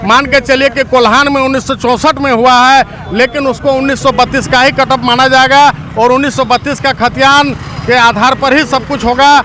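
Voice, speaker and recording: A man's voice: extremely high pitch, steady tone, fairly quick. A man with a Jharkhand accent speaking as an activist in a political interview, earnest and speech-like. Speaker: activist, movement leader. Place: outdoors at a road junction. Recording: noisy outdoor roadside recording. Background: loud traffic and vehicle noise.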